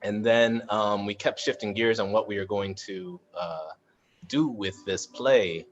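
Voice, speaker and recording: A low-voiced man moderates an informal online chat. He speaks haltingly, searching for his words, and the video-call audio is dull and muffled. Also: steady tone. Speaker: moderator and cast member. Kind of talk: casual live panel moderation.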